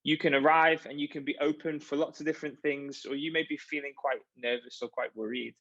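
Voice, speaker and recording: A man's voice: on the high side, fairly even intonation, a little fast, a bit bright. A man, a university chaplain giving a friendly, chatty, encouraging welcome talk over a video call. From Liverpool, England. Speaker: university chaplain and events coordinator. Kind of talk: informal online welcome talk. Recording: dull lo-fi video call audio.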